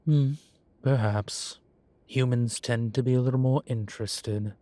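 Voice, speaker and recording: A man's voice: mid-range voice, fairly even intonation, dry recording, close to an everyday voice. A man playing a droll, proud, genteel demon professor who lectures a student in a scripted roleplay audio. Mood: patient lecturing. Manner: unhurried, genteel, gently lecturing. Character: droll, proud, urbane male demon professor, centuries old. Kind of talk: scripted roleplay audio, one-sided conversation.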